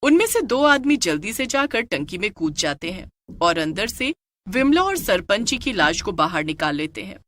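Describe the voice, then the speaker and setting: Expressive woman story narrator. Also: medium pitch, moderate pace, a little hiss or hum. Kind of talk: scripted dramatic storytelling.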